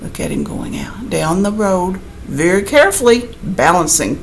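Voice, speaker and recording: A woman's voice: mid-range voice, expressive, moderate pace, a little hiss or hum. A woman reading a picture book aloud like a teacher, expressively, with chatty asides and questions to young listeners. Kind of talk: animated children's read-aloud with chatty asides.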